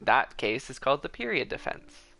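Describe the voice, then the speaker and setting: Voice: mid-range voice, sing-song, normal speed, vocal fry, noisy background. A game streamer chatting casually with viewers and giving enthusiastic, conversational fan commentary. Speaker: livestream host.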